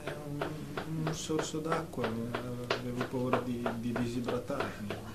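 An anxious hypochondriac man played by an actor stammers timidly in a middling pitch. It is comic radio drama, with clear noise and a roomy echo. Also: fairly even intonation, unhurried, natural voice. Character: timid, paranoid male hypochondriac patient. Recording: noisy, echoey room, quiet.

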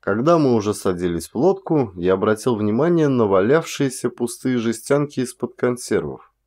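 A man reading an audiobook as an actor, telling a literary story in a measured, expressive way. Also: mid-range voice.

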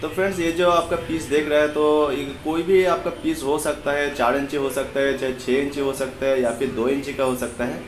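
A man's voice: fairly high pitch, fairly even intonation, moderate pace, hardly any pauses. A man gives an informal, repetitive voice-over explanation, addressing viewers as friends. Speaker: host of a welding advice video. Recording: roomy echoing voice-over with music behind. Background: background music.

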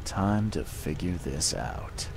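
An actor playing a grim, world-weary detective in a video game, brooding and terse. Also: male voice, sits low, lively intonation, slow pace, crowd noise, heavily acted.

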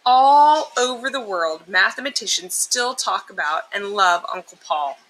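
Woman, bright clear-cut tone, medium pitch, expressive storybook read-aloud, explanatory and emphatic, noticeable background noise. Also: a little slow, low-quality recording. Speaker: teacher reading a picture book aloud.

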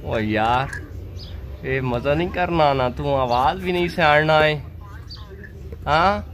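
Slow-speaking young Pakistani man vlogging outdoors. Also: fairly high pitch, fairly bright tone. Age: 20s. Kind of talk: casual vlog chat. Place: outdoors at a block-walled building site and lane. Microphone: phone at arm's length. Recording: clear outdoor background noise.

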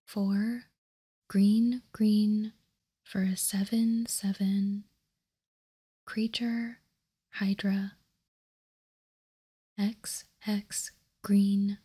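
A woman narrates in a soft, breathy ASMR whisper. Her delivery is very even and unhurried, with a mellow, relaxed tone. She sounds like a host calmly presenting items on a tabletop. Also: mid-range voice. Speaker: ASMR card game video host. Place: indoors, tabletop card showcase.

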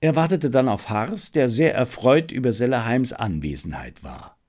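Expressive male audiobook narrator. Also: mid-range voice, moderate pace. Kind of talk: scripted narration of a detective story.

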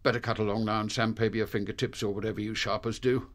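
An actor playing an authoritative, gruff British spy chief in a radio drama, clipped and commanding. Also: male voice, lowish voice, flat delivery, fast, lightly acted. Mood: brisk.

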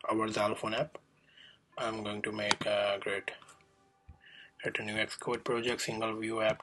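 A man presenting a casual coding tutorial, thinking aloud in a halting way as he demonstrates each step. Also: fairly low pitch, a bit bright. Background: music clearly playing behind the voice.

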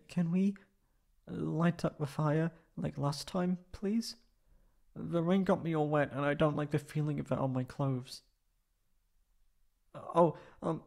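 A man voicing a shy, flustered young tsundere catboy in a high put-on voice, halting and stammering in a roleplay audio drama. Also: vocal fry, a little mellow. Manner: halting, stammering, pleading and defensive.

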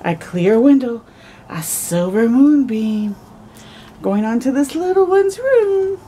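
A middle-aged woman reads a picture book to children in a high, mellow voice. She takes her time, drawing out words expressively and adding small asides. The recording is made outdoors, with clear background noise. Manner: expressive, slow storytelling with gasps and drawn-out words. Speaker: children's storytime host. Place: outdoors, porch or yard in early morning.

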